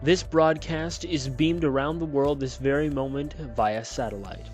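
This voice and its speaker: A man's voice: mid-range voice, fairly even intonation, a little slow, darkish tone, soft background music, lightly acted. A man voicing a smiling male television preacher who sermonizes in a sing-songy cadence. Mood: preaching. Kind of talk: storybook reading.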